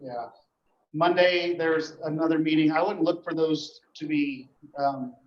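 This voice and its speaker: A man gives an informal report to a board at a meeting, explaining things in a halting, matter-of-fact way. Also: fairly high pitch. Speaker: emergency dispatch official reporting to a board. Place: indoor meeting room. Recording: echoey meeting room, dull muffled audio.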